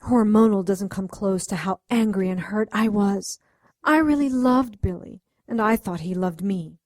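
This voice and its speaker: A woman's voice: mid-range voice, measured pace, a little mellow, no room sound, clean studio sound, close to an everyday voice. Woman playing a frank, composed witch recounting her past. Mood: reflective. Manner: frank, self-justifying. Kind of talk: audio drama acting, narrating.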